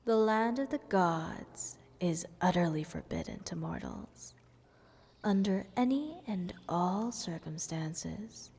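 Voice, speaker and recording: A woman voices a possessive goddess of stars in a fantasy audio drama. She speaks very slowly and teasingly, with a breathy softness that slips into whispers. Her condescending words ring out in a long, hall-like reverb. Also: lowish voice, animated, exaggerated voice. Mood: mocking pity. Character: playful, selfish, possessive goddess of stars toying with mortals. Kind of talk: scripted fantasy audio roleplay addressed to the listener.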